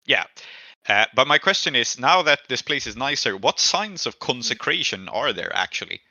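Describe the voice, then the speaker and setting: Male game host, very bright forceful voice, casual and inquisitive, close dry sound. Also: medium pitch, sing-song, normal speed, no echo. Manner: inquisitive, prompting others with questions. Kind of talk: casual tabletop roleplay discussion.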